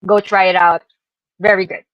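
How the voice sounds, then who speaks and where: A woman's voice: medium pitch, level tone, normal speed, a bit bright, no echo, lo-fi sound. Woman doing a chatty slide talk on a stream, bit hesitant, dry sound. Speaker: podcast presenter. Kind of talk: informal slide presentation to co-hosts. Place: online live stream call.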